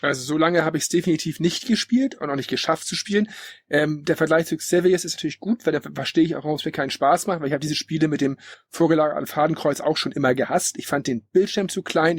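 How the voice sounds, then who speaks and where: Fast-talking, husky male podcast host. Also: fairly high pitch, dry recording, studio quality. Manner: opinionated, blunt. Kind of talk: casual critical discussion of retro video games.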